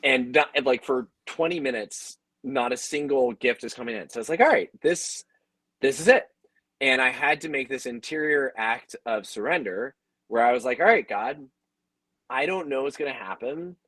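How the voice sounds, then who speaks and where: A man's voice: medium pitch, animated, normal speed, a bit bright, no echo, rough recording. Casual, emphatic man telling stories, dry sound. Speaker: radio host and audio drama creator. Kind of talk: animated personal storytelling in a panel conversation.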